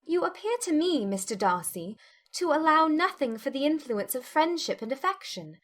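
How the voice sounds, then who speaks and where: Woman playing a witty, high-voiced young gentlewoman. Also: very animated, moderate pace. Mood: challenging. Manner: formal, articulate, pointed. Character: witty, self-possessed, challenging young gentlewoman. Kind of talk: dramatised classic novel audiobook.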